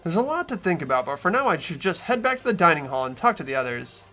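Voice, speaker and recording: A man hosting a game playthrough, switching between casual commentary and expressive reading of the narration. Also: a little high, fast talker, faint music behind.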